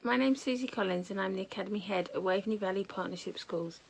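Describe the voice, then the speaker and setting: A woman's voice: medium pitch, quick pace, gravelly, background noise. Formal woman giving a scripted testimonial. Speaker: school head.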